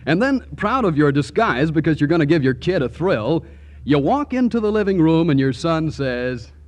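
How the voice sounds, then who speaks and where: A man's voice: on the high side, sing-song, moderate pace, a little mellow. A man working as a radio announcer, delivering jovial, punchy 1940s advertising patter. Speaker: announcer pitching a cigarette sponsor.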